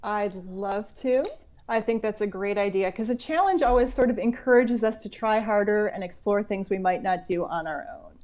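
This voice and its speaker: A woman's voice: mid-range voice, moderate pace. Female children's author, US, conversational guest, enthusiastic explainer, muffled call-quality recording. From United States. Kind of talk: conversational interview chat about books. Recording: dull, lo-fi video call audio.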